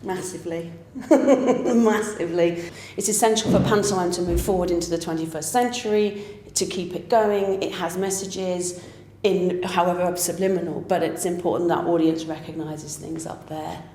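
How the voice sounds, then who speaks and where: A British woman with a very low, warm and mellow voice makes her points with firm emphasis in a relaxed conversation. Her voice carries a roomy echo from the space. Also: moderate pace, slight background noise. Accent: British English. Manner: emphatic, firmly opinionated. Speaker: pantomime writer and director. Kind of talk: casual conversational interview.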